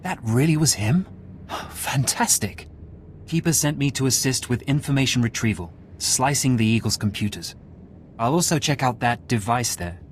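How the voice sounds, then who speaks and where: Male actor as intelligence analyst, earnest, a bit anxious, average pitch, game dialogue, faint background noise. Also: animated, normal speed, natural voice. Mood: concerned. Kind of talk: video game voice acting.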